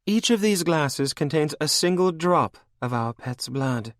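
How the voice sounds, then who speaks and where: Man doing a regal vampire queen, cruel, sweetly mocking, high voice, dry sound. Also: expressive, unhurried, dry recording. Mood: mocking command.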